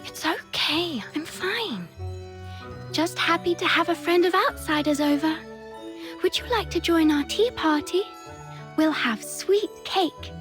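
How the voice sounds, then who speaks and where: A very high, unhurried girl's voice for a video game. She is a gentle, frail dreamer who speaks softly and with wonder, like someone in a storybook, over clear background music. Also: expressive. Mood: gentle, welcoming. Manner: soft, wondering, storybook-like phrasing. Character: gentle, frail, imaginative young girl, an adoring little sister.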